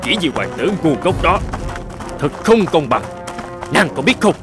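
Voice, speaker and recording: A man's voice: a little high, very animated, a little slow, music in the background. A man voicing a scheming old male sage in a cartoon fairy tale, gloating and wheedling. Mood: bitter.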